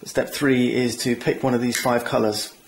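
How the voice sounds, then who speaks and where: A man with a gravelly, creaky voice gives a board game setup walkthrough. His pitch stays flat, and his tone is chatty and keen, with self-mocking asides. A faint hiss sits behind him. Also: normal speed, rough recording. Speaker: board game channel host. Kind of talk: tabletop game rules walkthrough.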